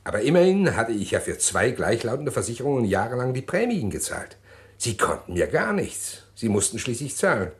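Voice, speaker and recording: An actor playing a middle-aged man who calmly confesses a murder plot in an old radio play, measured and hesitant. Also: mid-range voice, close to an everyday voice. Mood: calm confessing. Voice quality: measured, deliberate, hesitant with pauses. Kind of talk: 1950s radio drama acting.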